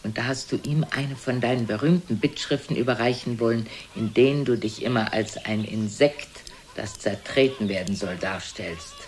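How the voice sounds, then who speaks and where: A woman's voice: extremely low pitch, moderate pace, a little mellow, slight background noise. An actress playing an imperious, blunt, aged mother who coolly belittles her son in a theatrical radio play. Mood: coolly reminiscing, belittling. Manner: haughty, probing, theatrical. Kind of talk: German radio play acting.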